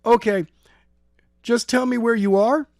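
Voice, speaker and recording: A man's voice: very high-pitched, steady tone, measured pace, vocal fry, a little mellow, no room sound, studio quality, voice pushed up, heavily acted. A man playing an emotional young martial arts student in a story, exclaiming urgently. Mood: urgent alarm.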